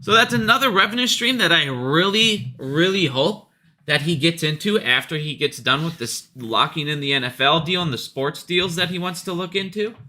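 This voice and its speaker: A man with a very bright, hard-edged voice that sits a bit high. He talks off the cuff about money and business in a blunt, emphatic way, swearing freely and hammering his points by restating them. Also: moderate pace. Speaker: retail investor commentator. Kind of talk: casual opinionated monologue about business.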